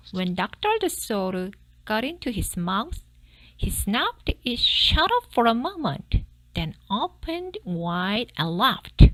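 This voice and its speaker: Slow, expressive woman reading a storybook. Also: a little high, low-quality recording. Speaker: storybook reader. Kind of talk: expressive scripted read-aloud narration.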